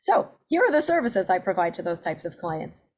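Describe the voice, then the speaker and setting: Woman in her 40s doing a webinar pitch for her coaching, upbeat and chatty, lists off services. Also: big pitch swings, fairly quick, a little mellow. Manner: upbeat, self-promoting, listing services. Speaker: accent and presentation coach.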